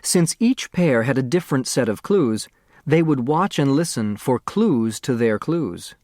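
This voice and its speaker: A man narrating a children's novel as an audiobook, expressive and deliberate in his storytelling. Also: medium pitch, no room sound, clean studio sound.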